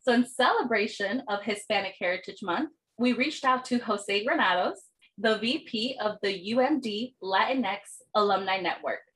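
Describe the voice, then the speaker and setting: A woman's voice: medium pitch, fairly bright tone, poor audio. Woman hosting a podcast interview. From United States. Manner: friendly, encouraging interviewer. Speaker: podcast host.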